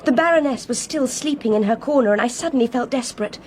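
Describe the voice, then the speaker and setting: A woman's voice: a little high, fast, a little mellow, close to an everyday voice. An actress playing a desperate, earnest young woman with an English accent in a radio drama. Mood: distressed recounting. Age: young adult. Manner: earnest, emphatic. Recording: clear train noise behind.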